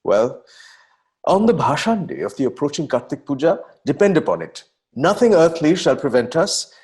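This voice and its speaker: Man playing young Victorian-era poet, effusive, florid, declamatory letter reading, lively swooping intonation, stage drama. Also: fairly high pitch, moderate pace. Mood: effusive, dramatic. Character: effusive, melodramatic young 19th-century male poet writing letters.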